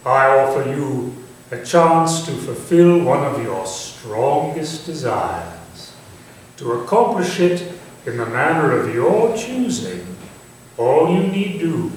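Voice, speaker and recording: A man playing a manipulative, commanding Victorian gentleman villain in a staged thriller, speaking in formal, theatrical phrases. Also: mid-range voice. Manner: formal, deliberate, theatrical delivery. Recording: distant, echoey stage recording with faint noise.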